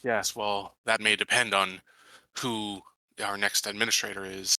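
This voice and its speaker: A man playing a guarded, authoritative colony security chief in a tabletop roleplay, talking in a measured, careful, official way. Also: lowish voice, flat delivery, pushed and bright, no room sound, low-quality recording. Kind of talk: improvised tabletop roleplay dialogue.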